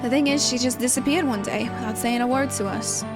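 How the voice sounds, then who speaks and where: Woman playing a young female arena fighter, video game dialogue, high voice, earnest, supportive, measured phrasing, clear music underneath. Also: natural voice. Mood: reflective.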